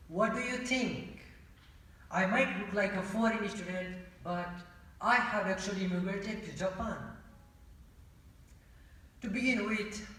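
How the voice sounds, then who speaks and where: A young man with a Nepali accent delivers a formal, rehearsed speech in a high-pitched voice. He speaks deliberately, with rhetorical pauses, heard from a distance in a very reverberant auditorium. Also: pushed and bright. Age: young adult. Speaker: student speech contestant. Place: large indoor auditorium. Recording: echoey auditorium, distant from mic.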